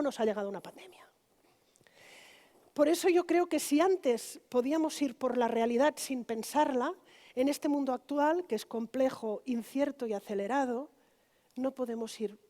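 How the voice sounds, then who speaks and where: A woman's voice: on the high side, mellow. A woman, a pediatrician giving a conference lecture in a conversational way, often checking in with the audience. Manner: conversational, frequent tag questions to the audience.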